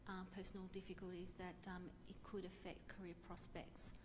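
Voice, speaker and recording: A woman at a workshop, offering ideas hesitantly with many pauses. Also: fairly low pitch, level tone. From Victoria, Australia. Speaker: workshop participant. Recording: echoey hall with background noise.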